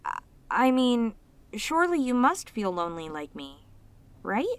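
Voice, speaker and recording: A woman's voice: on the high side, very animated, measured pace, clean tone, no room sound. A woman voicing a lonely, sweet, possessive demoness in an intimate roleplay audio drama, speaking tenderly and hesitantly to the listener. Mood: lonely, wistful. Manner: tender, hesitant, slightly stammering, clingy, emotive. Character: lonely, sweet, possessive female demon, sealed away, obsessively devoted.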